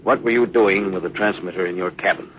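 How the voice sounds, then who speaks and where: An actor playing a stern, suspicious spaceship captain in 1950s radio drama style, clipped and authoritative. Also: male voice, low-pitched, steady tone, fairly quick, natural voice. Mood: cold, probing. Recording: noisy, muffled old radio recording.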